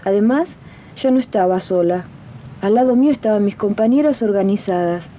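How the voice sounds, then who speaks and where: An actress playing a determined domestic worker in a radio drama, polite, plain-spoken and proudly firm. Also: female voice, a little fast, natural voice. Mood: proud, reflective. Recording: dull lo-fi recording with rumbling noise.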